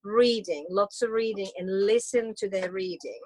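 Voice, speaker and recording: A woman's voice: medium pitch, moderate pace, a little mellow. A woman from Finland, a Swedish-speaking Finn, chatting casually as a guest about homeschooling, often restarting her sentences. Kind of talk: casual conversation.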